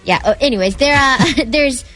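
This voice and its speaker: A woman's voice: high voice, normal speed, fairly bright tone. A woman co-hosting a radio show, chatting casually and informally in a studio. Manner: conversational, informal. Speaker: radio co-host. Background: music.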